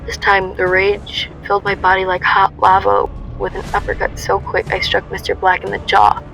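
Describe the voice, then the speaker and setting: A woman's voice: medium pitch, monotone, normal speed, vocal fry, fairly bright tone, faint music behind, rough recording. A woman playing a young heroine who recounts her ordeal in intense first-person dramatic narration. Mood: tense intense.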